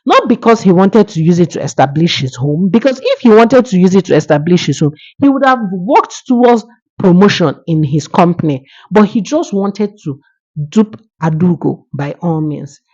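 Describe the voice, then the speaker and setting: Low-voiced woman storyteller, muffled recording. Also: a little mellow, breathy edge. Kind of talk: oral folktale narration with moral lesson.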